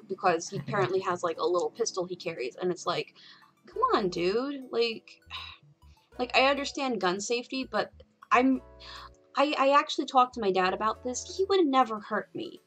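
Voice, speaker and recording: A woman's voice: medium pitch, fairly bright tone. A woman hosting a casual livestream, talking in a candid, rambling way with frequent swearing. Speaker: livestream host.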